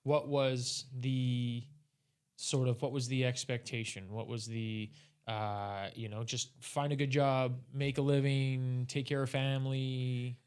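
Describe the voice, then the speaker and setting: A man hosts an interview podcast in a relaxed, easygoing way. His voice sits at a middle pitch, and he uses plenty of fillers and short backchannels. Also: slow pace, smooth. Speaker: podcast host.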